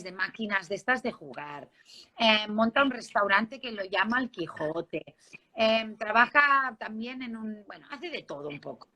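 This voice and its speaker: A woman's voice: mid-range voice, bright, forceful tone. A woman with a Spanish accent from Barcelona, telling her life story informally as an interview guest, conversational and often checking in with the listener. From Spain, Barcelona. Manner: conversational, seeks agreement with tag questions.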